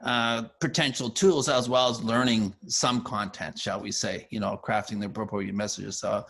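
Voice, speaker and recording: A man interviewing conversationally, summarizing and asking questions in a wordy, halting way as he rephrases himself. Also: lowish voice, little pitch movement, gravelly, fairly bright tone, slightly rough.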